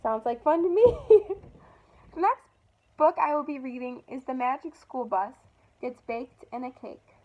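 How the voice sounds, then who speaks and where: A woman reading a picture book aloud to children in an expressive way, pausing to point out the pictures. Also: sits high, lo-fi sound. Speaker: storytime reader for children.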